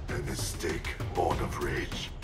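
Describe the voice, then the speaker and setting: An actor playing a grim, penitent warrior, solemn and terse, in a video game cutscene. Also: male voice, very deep voice, steady tone, takes it slowly, heavily acted. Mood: solemn, urgent. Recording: loud cinematic music behind the voice.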